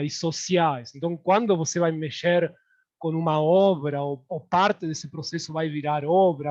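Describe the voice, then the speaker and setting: A man from Argentina with an Argentine Spanish accent, speaking as a guest artist in a relaxed, digressive way with many hesitations. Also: a little high, muffled recording. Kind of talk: online panel talk.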